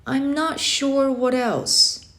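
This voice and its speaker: A woman's voice: sits high, extremely slow, gravelly, a bit bright, pitched above the performer's own voice, heavily acted. A woman voicing an unsure, curious schoolboy in a children's story read-aloud, hesitant and questioning. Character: unsure, curious schoolboy, eager but nervous.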